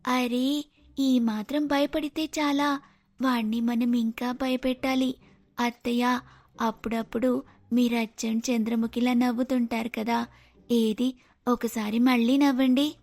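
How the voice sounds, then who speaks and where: A woman's voice: on the high side, fairly even intonation, unhurried, pauses often, a little mellow. A woman playing a bold, scheming young daughter-in-law in a cartoon moral story, insistent and dramatic.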